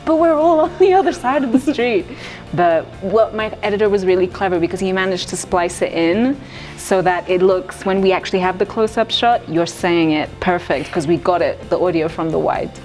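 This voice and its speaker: A young woman director speaking in a thoughtful, measured way, with plenty of fillers, in a festival interview. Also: on the low side, darkish tone, faint music behind. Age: young adult. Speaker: writer and director.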